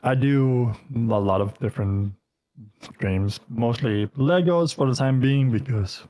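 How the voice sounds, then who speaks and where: A man with a Danish accent chats as a guest in a casual interview. His talk wanders and is full of filler words, with a voice at an ordinary middle pitch. The sound is dry, with no room echo. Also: measured pace, warm tone. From Denmark. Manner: rambling, heavy with fillers. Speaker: guest streamer.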